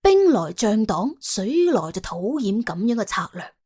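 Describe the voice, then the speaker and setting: Female Cantonese audiobook narrator: husky, lively swings in pitch, wry dramatic storytelling. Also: unhurried. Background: soft background music.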